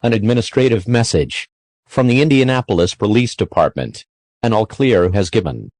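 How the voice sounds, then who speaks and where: A man's voice: on the low side, lively intonation, normal speed, background noise. A man's synthetic-sounding voice reading scripted emergency alert messages as a formal announcer.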